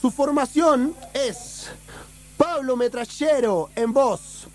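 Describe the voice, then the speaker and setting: A Chilean man with a hoarse, worn throat, presenting a music segment on radio in casual, sweary slang. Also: extremely high pitch, takes it slowly, a little mellow. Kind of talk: casual music radio chat with band introductions.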